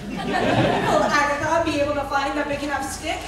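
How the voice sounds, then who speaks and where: High cliffhanger announcer, a woman acting, echoey. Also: moderate pace, a crowd in the background, close to an everyday voice. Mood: mock suspense. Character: mock-suspenseful cliffhanger serial announcer. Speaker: narrator. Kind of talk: live radio serial narration. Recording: echoey hall, distant mic, audience heard.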